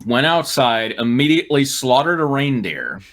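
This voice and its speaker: Sarcastic man with wildly swinging pitch. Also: very animated, moderate pace, fairly bright tone. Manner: sarcastic, irreverent. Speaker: host and reader on a fan fiction riffing show. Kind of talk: reading a story aloud with mocking asides.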